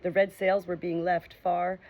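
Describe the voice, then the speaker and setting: A woman narrating a children's storybook as an audiobook, reading in a clear, steady way. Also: lowish voice, unhurried, slight background noise.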